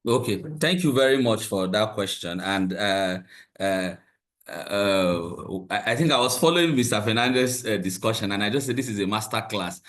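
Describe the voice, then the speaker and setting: A man's voice: on the low side, normal speed, a bit bright. A man, answering on a webinar panel, hesitant with many fillers.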